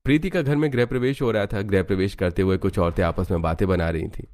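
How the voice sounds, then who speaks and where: A man's voice: deep voice, fast, a little mellow. A man narrating a scripted moral story as its storyteller.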